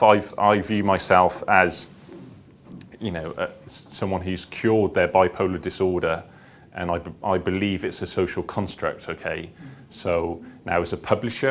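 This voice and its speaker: Deep-voiced man speaking at a seminar. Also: fairly bright tone. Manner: hesitant, repetitive, circling back through his phrases. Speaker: seminar speaker, publisher and founder. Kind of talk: informal seminar talk. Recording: clear background noise with low rumble.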